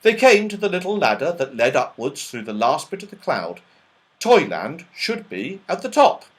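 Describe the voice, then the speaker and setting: A man narrating a children's storybook as an audiobook reader, with expressive, dramatic delivery. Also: fairly high pitch, normal speed.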